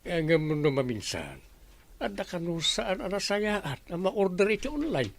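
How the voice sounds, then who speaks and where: A man's voice: high-pitched, big pitch swings, normal speed, vocal fry, dry recording, full character voice. A man with an Ilocano accent playing a homely, somewhat hesitant village captain in a radio comedy drama. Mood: musing.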